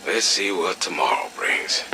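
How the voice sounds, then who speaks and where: An actor playing a blunt but sympathetic, weathered army major in an audio drama. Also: male voice, sits low, big pitch swings, unhurried, creaky, background noise, heavily acted. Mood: frank.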